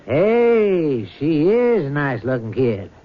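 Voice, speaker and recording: An actor playing a seasoned, middle-aged army training sergeant, gruff and dryly teasing, in 1970s radio drama style. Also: male voice, on the high side, big pitch swings, slow pace. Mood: amused, impressed. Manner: dry, teasing, world-weary. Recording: dull old radio recording.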